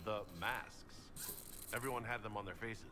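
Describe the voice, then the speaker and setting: A man's voice: on the low side, a little slow, background noise, lightly acted. An actor playing a questioning male warrior leader in a fantasy video game. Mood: questioning, dry.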